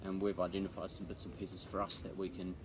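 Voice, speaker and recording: Blokey new zealand guy, low voice, informal chat to camera, noisy background. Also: level tone, fast. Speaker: accountant and business adviser co-hosting a video. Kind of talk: casual chat to camera for a live social video.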